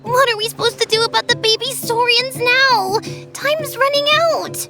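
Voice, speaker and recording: A woman's voice: very high-pitched, normal speed. A woman voicing an earnest, anxious little village child in a video game, with a light, childlike voice. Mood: dismayed. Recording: music behind the voice.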